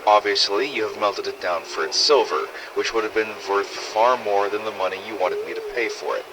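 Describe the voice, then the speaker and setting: An aggrieved woman, played by a man in a low voice reads a formal letter of complaint in a fan audio drama. The delivery is indignant and proper. Clear noise runs through the recording. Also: moderate pace, natural voice.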